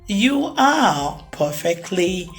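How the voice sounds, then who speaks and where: Female storytime narrator: expressive and animated, unhurried delivery for young children, over music. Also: fairly low pitch. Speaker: children's storytime reader and host.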